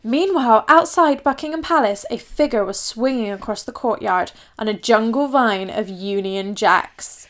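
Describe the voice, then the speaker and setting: High-voiced woman reading a children's storybook. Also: normal speed, hardly any pauses, fairly bright tone. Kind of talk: expressive children's storybook read-aloud.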